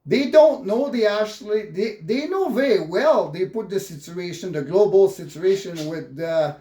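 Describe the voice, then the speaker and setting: An upset man with a French Canadian accent speaks in a fairly high voice for a male. His English is halting and repetitive, peppered with swearing as he rants off the cuff. The recording is strongly roomy and echoing. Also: animated, mellow. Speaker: vlogger. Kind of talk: unscripted political rant.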